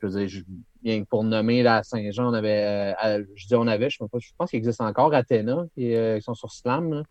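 A man's voice: lowish voice, steady tone, a little fast, a little mellow, no room sound, muffled recording. A man with a Québécois accent, a musician guest talking casually with lots of fillers and some laughter. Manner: chatty, laughing, many fillers. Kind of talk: casual interview.

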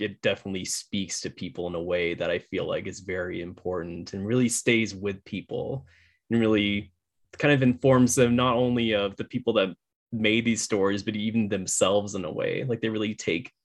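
A man interviewing someone in a casual, earnest conversational way, speaking appreciatively in informal phrases. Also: low-pitched.

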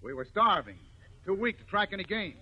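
An earnest outdoorsman hero in an old radio adventure. He speaks high for a man, with lively swings of pitch and emphatic reasoning, on a muffled vintage recording. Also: fairly quick, husky, exaggerated voice. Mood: earnest, insistent. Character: earnest outdoorsman hero defending his dog. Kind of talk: 1950s radio drama acting.

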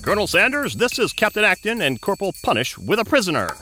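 A man playing a dutiful Air Force captain in a comedic audio drama, reporting in brisk official military style. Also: high voice, big pitch swings, pushed and bright, soft background music, full character voice.

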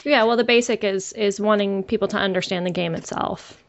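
A woman's voice: mid-range voice, fairly even intonation, brisk. A woman interviewing a guest, attentive and often chiming in with short agreeing sounds. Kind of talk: casual interview. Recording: dull lo-fi recording.

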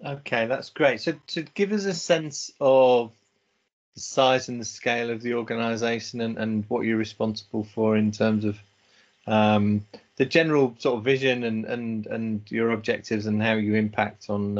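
A man's voice: on the low side, fairly even intonation, normal speed. Hesitant male podcast host, muffled. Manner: hesitant, with many fillers and repeats. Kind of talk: podcast interview hosting.

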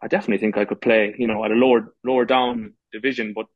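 Brief: Irish man in his thirties, rapid level speech, halting restarts. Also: lowish voice, level tone, no echo, narrow, tinny audio. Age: 30s. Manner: many false starts and fillers. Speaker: podcast guest, goalkeeper and coach. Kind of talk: casual interview talk.

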